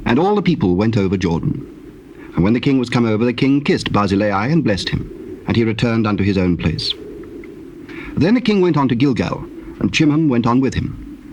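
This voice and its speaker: A male narrator reads scripture as solemn, measured storytelling. His voice sits at a middle pitch, and the recording has clear background noise. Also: lively intonation, darkish tone, muffled recording. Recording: noisy cassette tape recording.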